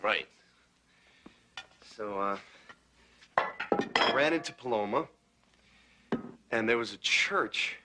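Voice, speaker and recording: Stammering young hero. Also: male voice, lowish voice, expressive, slow pace, pauses often, heavy background noise. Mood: flustered. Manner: hesitant, stammering with fillers. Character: flustered young male hero, evasive. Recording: TV drama clip with music underneath.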